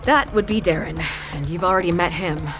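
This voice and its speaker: A woman playing a desperate, meticulous captive woman pleading earnestly and haltingly in a fantasy audio drama. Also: lowish voice, close to an everyday voice. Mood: earnest, troubled. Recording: loud music behind the voice. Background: music.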